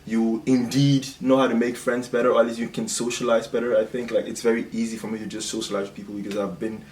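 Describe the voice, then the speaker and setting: A young man from Cameroon talks in a casual, explanatory way, like a channel host in conversation. His voice sits at a middle pitch. The room is noticeably echoey, with faint background noise. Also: flat delivery, fast talker, a little mellow.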